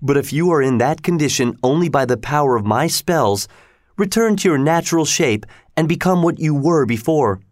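Storybook role: cruel, lovesick sorceress queen, high and melodramatic, imploring and imperious, performed by a male narrator. Dry recording. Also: lively intonation, normal speed, a little mellow, no echo, lightly acted. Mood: commanding.

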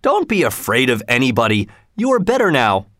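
A man reading aloud, voicing an old king who speaks slowly and soothingly to his son. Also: mid-range voice, huge pitch swings, fairly bright tone, no echo, studio quality.

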